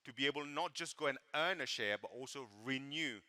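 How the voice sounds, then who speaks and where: Bright-voiced man with a French accent. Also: medium pitch, fairly quick. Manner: emphatic, repeats words for stress, playful with the crowd. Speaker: co-presenter at a business event. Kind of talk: live stage presentation.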